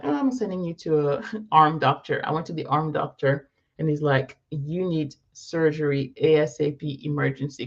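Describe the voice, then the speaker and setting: A woman's voice: low-pitched, muffled recording. A woman in her thirties, from Morocco, answering viewer questions in a casual live Q&A, thinking aloud, repeating herself and correcting as she goes. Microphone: live-stream setup.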